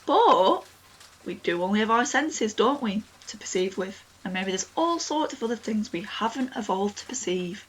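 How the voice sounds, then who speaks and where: A woman's voice: medium pitch, lively intonation, moderate pace, breathy edge, low-quality recording. A young woman acting a reasonable, questioning partner in an audio drama. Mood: questioning, coaxing. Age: young adult. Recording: faint background noise, home recording.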